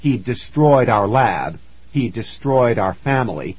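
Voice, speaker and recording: A man's voice: medium pitch, expressive, measured pace, darkish tone, slightly rough, no echo, muffled recording. A man voicing an earnest, protective scientist father in a storybook, speaking emphatically. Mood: earnest relief.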